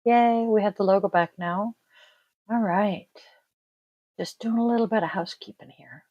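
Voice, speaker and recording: A woman's voice: mid-range voice, moderate pace, darkish tone, no echo, muffled recording. A middle-aged woman from Washington State hosting a casual tarot livestream, tired and congested, rambling and trailing off with sighs. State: congested, sniffly. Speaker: tarot reader and livestream host.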